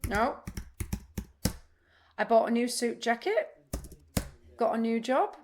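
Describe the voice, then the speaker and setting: A woman's voice: fairly high pitch, animated, takes it slowly, noisy background. An actress playing a blunt, restless, literal-minded woman in audio play dialogue. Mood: matter-of-fact.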